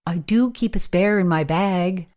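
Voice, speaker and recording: Radio drama camera operator, female actor: laconic, casual, unhurried, slightly lower voice. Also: darkish tone, lightly acted. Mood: offhand, dry. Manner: casual, offhand. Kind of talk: radio play acting.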